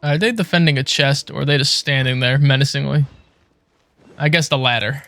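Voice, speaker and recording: A man's voice: mid-range voice, brisk, pushed and bright, no room sound. A man giving casual live gameplay commentary as a streamer, with a playful, wry way of talking. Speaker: game streamer. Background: faint game music.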